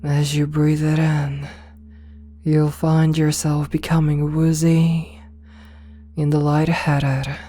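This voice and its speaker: A woman playing a possessive, domineering rich CEO in an ASMR roleplay, speaking softly and coaxingly with condescending sweetness, slipping into whispers. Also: deep voice, level tone. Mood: hypnotic, coaxing. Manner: slow, soft, breathy coaxing, slipping into whispers.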